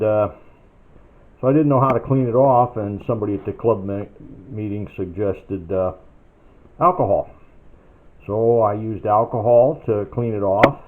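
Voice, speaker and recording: A lowish-voiced man comes through a thin, phone-like recording. He talks like an amateur hobbyist casually walking through a repair. His delivery is folksy and unscripted, with hesitant thinking aloud. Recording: tinny phone-like band-limited sound.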